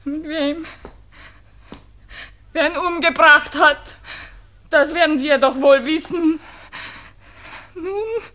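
An actress playing a distraught, lovesick young daughter in a radio drama, insistently pressing short, urgent questions. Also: female voice, sits high, slow, pauses often, bright, forceful tone, full character voice. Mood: desperate, demanding. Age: young adult. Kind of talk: 1960s German-language radio drama acting.

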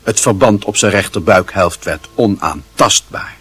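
A man narrating a radio play in a measured, expressive, literary storytelling style. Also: deep voice, husky, no echo.

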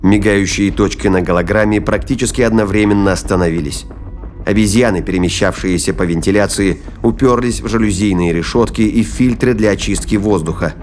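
A man's voice: on the low side, a little fast, a little mellow, a little hiss or hum. A man narrating a science-fiction thriller audio play as scripted storytelling.

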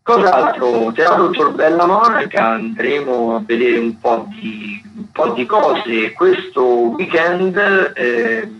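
A man hosting a radio show, talking through theatre listings in a halting, unscripted way. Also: medium pitch, sing-song, crowd noise. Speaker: radio host. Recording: dull, lo-fi radio sound with clear noise.

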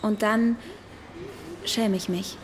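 A woman's voice: medium pitch, fairly even intonation, takes it slowly, a little hiss or hum, close to an everyday voice. An actress playing a forward, enigmatic young woman in a radio drama. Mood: earnest.

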